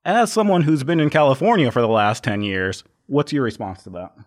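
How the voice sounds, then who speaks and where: A man's voice: medium pitch, a little fast. A man hosting a casual podcast interview, asking blunt, skeptical questions. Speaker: podcast host.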